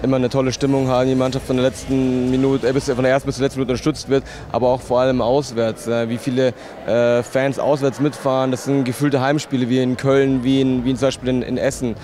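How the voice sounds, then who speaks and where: A man's voice: mid-range voice, little pitch movement, fast, hardly any pauses, dark tone. A man in his thirties giving an earnest interview answer outdoors into a handheld microphone. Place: outdoors in town. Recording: faint outdoor street noise.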